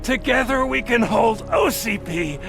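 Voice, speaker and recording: High-pitched, volatile male villain. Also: animated, unhurried, gravelly, music in the background, heavily acted. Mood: bitter, persuasive. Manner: theatrical, taunting, swinging from smug to shouting. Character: scheming male villain, arrogant, volatile, bitter. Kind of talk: video game cutscene acting.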